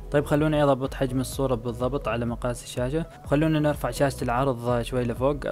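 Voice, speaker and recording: A man giving a step-by-step screen tutorial, with frequent "aah" fillers. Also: medium pitch. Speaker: video editing tutor. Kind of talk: screen-recorded app tutorial.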